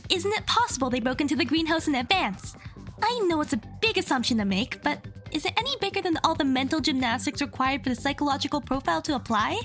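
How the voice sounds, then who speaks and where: Woman acting high-pitched, earnest young woman. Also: fairly bright tone. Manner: insistent, reasoning step by step. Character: Kokoro, earnest young woman arguing logically, persistent. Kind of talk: audio drama acting.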